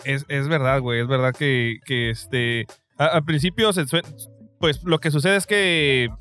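A man's voice: medium pitch, a little slow, fairly bright tone, faint music behind. A Mexican man in his forties with a Mexican accent, hosting a casual podcast chat in a rambling, slangy way full of restarts. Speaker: podcast host.

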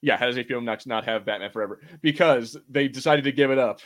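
A man hosting a casual watch-party commentary, talking with enthusiasm in a halting, repetitive way. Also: mid-range voice. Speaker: podcast host.

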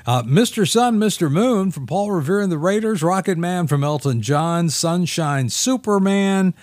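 A man's voice: sits high, animated, normal speed, darkish tone, no echo, clean studio sound. A man co-hosting a music show, chatting casually and agreeably about old songs. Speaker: radio show co-host.

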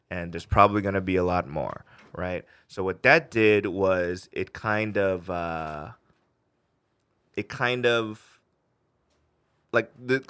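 A man's voice: on the low side, a bit bright, clean tone, dry recording. A man co-hosting a podcast as a stand-up comic, chatting casually with slang and swearing. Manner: slangy, profane. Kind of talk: casual chat.

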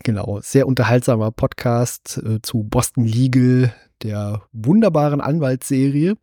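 Casual male podcast host, husky, close and dry. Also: medium pitch, unhurried, warm tone, no room sound. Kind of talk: casual film podcast conversation.

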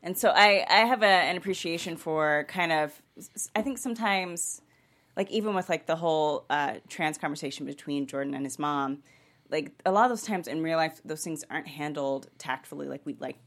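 A woman's voice: low voice, brisk, a bit bright. A woman panelist in a casual discussion, rambling along with lots of filler "likes" as she works out her point. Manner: rambling, peppered with "like".

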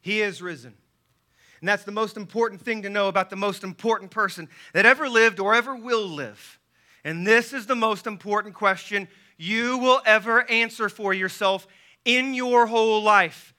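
Guy preaching hard, yelling, high voice super sharp and intense, repeats stuff for emphasis. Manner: deliberate phrasing with rhetorical repetition. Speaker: pastor preaching to a church. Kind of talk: sermon.

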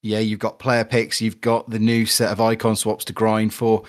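Male podcast host, low-pitched, chatty and upbeat, relaxed delivery. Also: moderate pace. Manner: chatty, upbeat, reads sponsor plugs. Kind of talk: casual podcast chat about a football video game.

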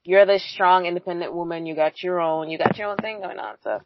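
A woman's voice: on the low side, fairly even intonation, a little fast, noisy background. A woman co-hosting a casual podcast, asking questions with teasing asides and frequent laughs. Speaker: podcast co-host. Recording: dry, dull lo-fi sound.

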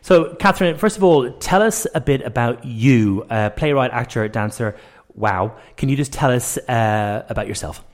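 A man presents a radio interview with an enthusiastic, affirming manner. He chats in an easy, encouraging way, keeping an average male pitch. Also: expressive, moderate pace, few pauses. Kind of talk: relaxed, encouraging interview chat. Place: radio station.

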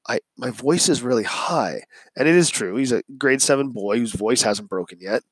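A man's voice: mid-range voice, dry recording, poor audio. A male teacher casually recounting a classroom story, rambling with many fillers and restarts and quoting himself along the way.

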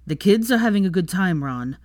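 A stern man in a story, standing up to an old friend, as read by a woman. The delivery is firm and forceful, recorded very dry. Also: fairly low pitch, animated, fairly quick, no room sound, natural voice. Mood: stern, rising anger. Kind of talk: dramatised fan fiction reading.

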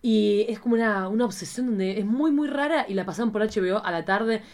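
A woman's voice: mid-range voice, fairly quick, a little mellow. Female podcast co-host, Argentine accent. Casual, campy, exclamatory, slangy and playful. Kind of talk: casual, playful chat about cult cinema.

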